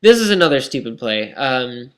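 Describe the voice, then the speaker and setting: A man's voice: mid-range voice, big pitch swings, moderate pace. A young man commentating his own online game match in a casual, self-critical, rambling way, his voice tired and scratchy. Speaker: gaming commentator.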